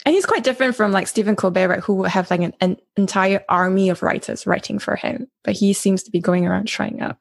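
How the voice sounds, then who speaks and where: A woman interviewer asks curious, engaged questions in an easy conversational way. Her voice has a gravelly, creaky edge, and the sound is dry and close. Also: mid-range voice, quick pace, few pauses, dry recording.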